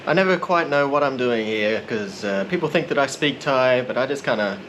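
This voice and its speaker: A man narrating a casual vlog in a relaxed, rambling, self-deprecating way. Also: mid-range voice, fairly quick, a little hiss or hum, low-quality recording. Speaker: vlogger.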